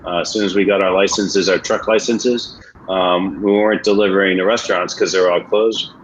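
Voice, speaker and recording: A man's voice: low-pitched, steady tone, a little fast, a little hiss or hum. A man with an American accent, speaking as a venture investor on a webinar panel in a blunt, colloquial way with many filler sounds. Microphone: video call. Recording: muffled video-call audio.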